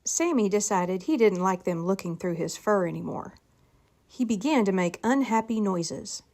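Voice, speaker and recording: Expressive woman reading a children's story. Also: medium pitch, normal speed. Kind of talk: animated read-aloud storybook narration.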